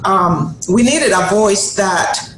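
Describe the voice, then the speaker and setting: A woman's voice: mid-range voice, slow, a bit bright. A middle-aged woman from Honduras, a native Spanish speaker with a Honduran Spanish accent, giving an earnest motivational speech to an audience, with emphatic repeated phrases. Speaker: guest speaker. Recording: roomy hall sound with faint noise.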